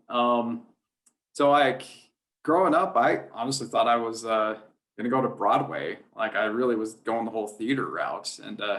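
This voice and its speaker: A man speaking as a guest in a casual interview, telling things in an informal, animated way. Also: mid-range voice. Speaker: guest, sports play-by-play announcer.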